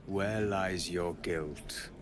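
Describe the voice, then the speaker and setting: Slow, solemn spirit of justice. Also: male voice, low voice, soft background music. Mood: grave, measured. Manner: slow, grave, archaic phrasing. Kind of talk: video game character dialogue.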